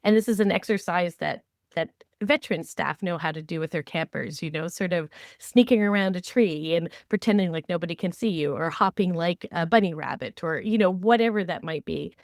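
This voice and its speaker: A woman hosting a podcast, talking conversationally and explaining with animated examples. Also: lowish voice, fairly quick, no room sound, clean studio sound. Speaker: podcast host. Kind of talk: conversational training discussion.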